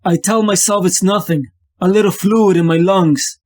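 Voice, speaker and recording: Man acting a high-voiced, tormented vigilante narrator. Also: fairly even intonation, moderate pace, darkish tone, lightly acted. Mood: brooding, troubled. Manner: brooding, confessional internal monologue. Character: tormented, ailing male vigilante losing his sanity. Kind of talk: dramatic first-person comic narration.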